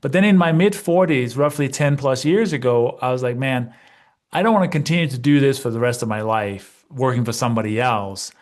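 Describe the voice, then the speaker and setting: A man's voice: mid-range voice, fairly quick. A man in his fifties, originally from Denmark, chatting easily as an interview guest and peppering his talk with "you know" and "right?". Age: 50s. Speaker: guest, real estate investor and coach. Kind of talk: casual interview conversation.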